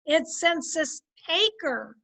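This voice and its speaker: A woman plays a patient wife in a 1950s-style radio comedy. Her voice is high, and she soothes and gently corrects with polite good manners. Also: lively intonation, slow pace, creaky, exaggerated voice. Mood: mild, patient. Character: patient, sweetly chiding wife of a blowhard.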